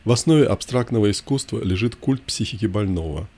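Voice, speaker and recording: A man narrating a scripted first-person memoir in short, measured, declarative sentences. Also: on the low side, expressive, a little mellow, slightly rough.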